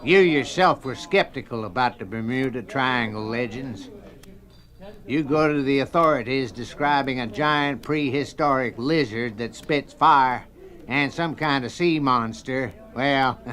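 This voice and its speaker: An actor playing a wry, dismissive boat captain in a 1970s radio drama. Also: male voice, medium pitch, measured pace, fairly bright tone, natural voice. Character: gruff skeptical boat captain, middle-aged man.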